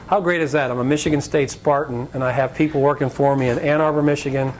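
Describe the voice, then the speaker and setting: An American man gives an informal guest lecture in a slightly raspy voice of ordinary pitch. He is conversational and jokey, wandering through anecdotes. The live recording carries a faint rumble of background noise. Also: steady tone, quick pace, a little mellow, rough recording. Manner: conversational, joking, digressive. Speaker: guest speaker, business leader. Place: college lecture event before an audience.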